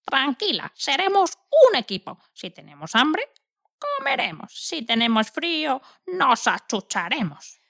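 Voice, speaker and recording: A cheerful storybook hen, played by a woman, very high and bright. Her delivery is theatrical and encouraging, with big swoops in pitch. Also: huge pitch swings, unhurried, heavily acted. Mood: reassuring. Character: cheerful, reassuring female hen friend. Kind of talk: children's audio story acting.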